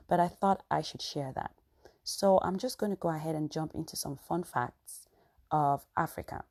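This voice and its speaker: Cameroonian woman vlogging, low warm voice, earnest and chatty with lots of you know. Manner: earnest, conversational, frequent "you know" asides. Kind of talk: personal storytelling to camera.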